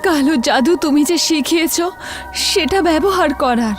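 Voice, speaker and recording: A woman's voice: high-pitched, lively intonation, a little slow. A woman voicing a seductive, domineering cartoon witch, cruel and possessive, with a menacing delivery. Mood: coaxing, commanding. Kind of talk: animated horror cartoon dialogue. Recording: loud music under the voice.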